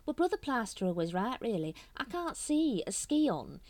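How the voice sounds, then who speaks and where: A grumbling, skeptical cult brother who is blunt and dourly plain-spoken, as a woman puts him on. His lines swing widely in pitch. The read is dry and close to the mic. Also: sing-song, moderate pace, a little mellow, no echo. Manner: dour, blunt, colloquial. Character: grumbling, skeptical working-class male cult member.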